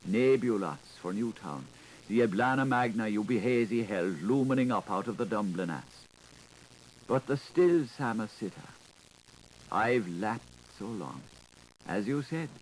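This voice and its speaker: A man of middling pitch reads literary prose as a dramatic monologue. His delivery is musing and intimate, with expressive shifts of emphasis. The recording is an older 1980s audiobook with faint noise. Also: low-quality recording. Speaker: audiobook reader.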